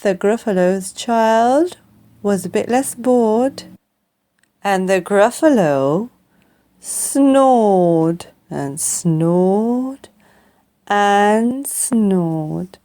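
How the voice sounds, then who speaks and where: A woman reading a children's story aloud as narrator, with dramatic and deliberate phrasing. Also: mid-range voice, animated, mellow. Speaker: storyteller, audiobook reader.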